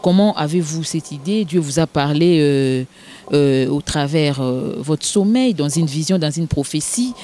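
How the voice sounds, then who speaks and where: A woman, hosting a formal television interview in a hesitant way, with many filler pauses. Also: low-pitched, animated, warm tone. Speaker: TV show host.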